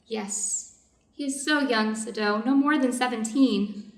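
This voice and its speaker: A fairly high female voice carries from some distance across an echoey room. It is a woman acting a doctor's wife in a readers' theater drama, dutiful and anxious. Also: a little slow. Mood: uneasy.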